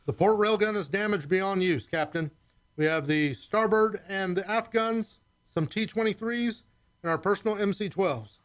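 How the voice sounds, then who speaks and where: A man's voice: high-pitched, normal speed, lightly acted. A man playing a blunt starship chief in a sci-fi radio play, urgently reporting damage.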